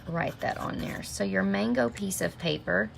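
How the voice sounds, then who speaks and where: A woman's voice: mid-range voice, normal speed, vocal fry. A middle-aged woman with a slight cold, chatting viewers through a craft project step by step and greeting them by name. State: sniffling with a minor cold. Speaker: stamping craft demonstrator. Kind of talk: live craft tutorial. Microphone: camera mic at desk. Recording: noticeable background noise.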